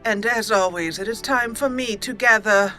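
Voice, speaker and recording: Gamer lady doing roleplay let's play commentary, bright voice, slow n theatrical, soft game music behind. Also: a little high. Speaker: gaming channel host.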